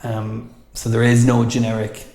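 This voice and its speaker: Man, neutral irish accent, casual chat host, monotone delivery, roomy echo. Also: mid-range voice, normal speed, gravelly. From Ireland. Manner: thoughtful, hesitant with fillers.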